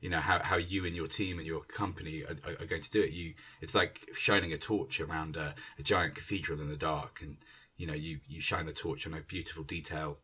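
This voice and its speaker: Man, very low pitch, reflective, hesitant phrasing, slightly distant from the mic. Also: fairly even intonation, a little fast, pushed and bright, breathy edge. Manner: hesitant, circling, thoughtful phrasing. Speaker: theatre director. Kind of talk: reflective discussion of creative work.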